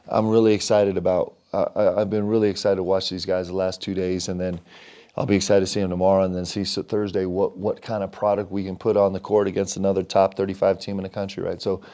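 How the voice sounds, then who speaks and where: A middle-aged man, a basketball coach, reflecting on his team's losses in a halting, thoughtful way with many restarts. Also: low voice, mellow. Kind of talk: post-game interview.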